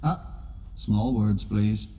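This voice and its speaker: A quick-witted rogue man from a video game, cocky and wry. His voice is soft-edged and dark, carried in a long echo over faint noise. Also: fairly low pitch. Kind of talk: video game character acting.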